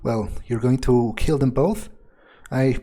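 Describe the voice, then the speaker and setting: Mellow, profane man role-playing through a game. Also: mid-range voice, slow pace. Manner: profane, blunt, hesitant musing. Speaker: video game player role-playing a character. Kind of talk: in-character playthrough commentary, thinking aloud. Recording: game music under the voice. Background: game music.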